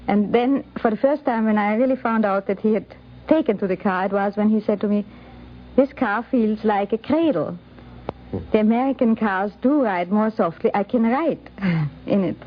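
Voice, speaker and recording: Woman, anecdotal reminiscence, medium pitch, dull 1950s radio recording. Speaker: interviewed photographer recounting memories.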